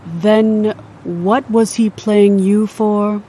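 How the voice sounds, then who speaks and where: A man's voice: high-pitched, steady tone, extremely slow, dark tone, breathy edge, exaggerated voice. A man playing a haughty, officious goblin queen in an audio drama, with a commanding, formal manner. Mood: haughty, puzzled. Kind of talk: scripted audio drama acting.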